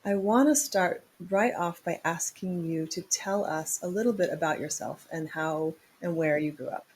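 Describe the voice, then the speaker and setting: A woman with a husky voice, presenting and interviewing as a podcast host. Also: lowish voice, moderate pace, a little mellow, a little hiss or hum. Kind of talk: scripted introduction and interview.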